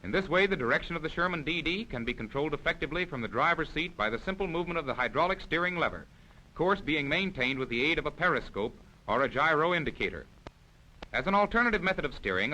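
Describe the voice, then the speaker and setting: A man's voice: a little high, lively intonation, fast, bright, forceful tone, poor audio. A man reading scripted narration for a 1940s military instructional film in a formal, precise, explanatory manner.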